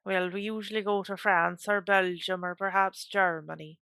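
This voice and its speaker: A woman's voice: mid-range voice, little pitch movement, normal speed, gravelly, bright, forceful tone, clear, resonant tone, no room sound, clean studio sound. A woman reading as an awkward, flustered man. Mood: defensive. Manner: hesitant, defensive replies. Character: awkward, defensive educated man, easily flustered.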